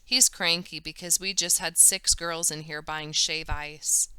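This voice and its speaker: A woman's voice: low-pitched, fairly even intonation, measured pace, sharp tone, clean tone, dry recording, heavily acted. A woman voicing a friendly, chatty woman shopkeeper from a children's story. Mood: explaining.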